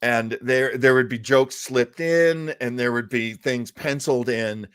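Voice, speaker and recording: A man's voice: medium pitch, measured pace, a bit bright, smooth. A man hosting a casual live talk show, telling stories in a chatty, jokey, self-deprecating way. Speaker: host. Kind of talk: casual live show chat about old-time radio.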